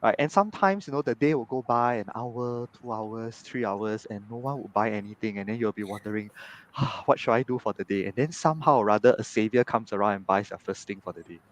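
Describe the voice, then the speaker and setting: A man, chatting as a guest on a video call, animated and tagging his sentences with "right?". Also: lowish voice, brisk, few pauses, rough recording. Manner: animated, frequent "right?" tags. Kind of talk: casual storytelling in an interview. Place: home room on video call.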